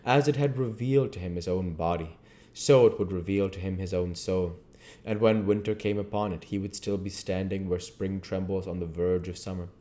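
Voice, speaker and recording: A man reading literary fiction aloud in a deliberate, theatrical way. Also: low-pitched, warm tone. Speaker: reader, channel host. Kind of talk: literary audiobook-style reading.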